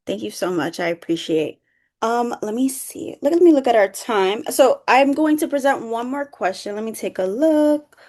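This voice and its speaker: A woman's voice: fairly high pitch, expressive, a little mellow. A woman from the Bronx moderating an online conference panel, talking passionately with many fillers. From the Bronx, New York. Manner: passionate, quick, lots of "like" fillers.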